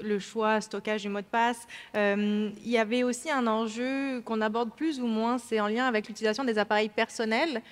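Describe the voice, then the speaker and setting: A woman from France with a French accent, explaining cybersecurity training as a panelist at a conference, with many fillers and restarts. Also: medium pitch. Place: large conference hall. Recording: hall reverb.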